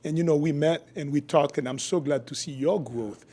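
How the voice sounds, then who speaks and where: A man's voice: a little high, normal speed, dark tone, husky. A middle-aged man from Haiti, a physician guest explaining his work and views in a podcast interview. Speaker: guest, functional medicine physician.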